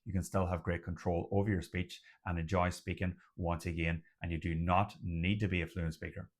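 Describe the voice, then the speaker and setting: A man who stutters at times, giving a slow, deliberate motivational talk as the host of a stuttering support channel. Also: low-pitched, level tone.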